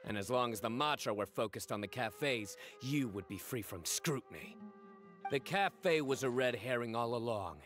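An actor playing a stern young male investigator in a video game, speaking calmly and deliberately. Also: medium pitch, faint music behind, lightly acted. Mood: deducing. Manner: calm, cold, deliberate deduction.

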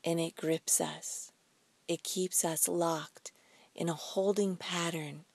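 Woman, guided meditation, lowish pitch, soft breathy tone, soothing, pausing between phrases, close dry sound. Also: fairly even intonation, dry recording. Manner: soothing, deliberate, with pauses between short phrases. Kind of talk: slow guided meditation narration.

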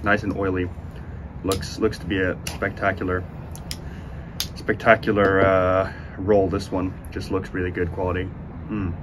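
A man in his twenties giving a casual, unhurried review to camera, speaking with enthusiastic praise. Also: low-pitched, flat delivery. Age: 20s. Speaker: cigar reviewer, host. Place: outdoors on a sunny porch by windows. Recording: outdoor ambient noise.

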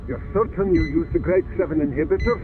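A man voices an older military scientist for a game cutscene. He is grave and clinical, with a somewhat high pitch, and loud music runs behind him. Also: fast, muffled recording, lightly acted. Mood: grave, firm. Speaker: military doctor. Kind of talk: video game cutscene acting.

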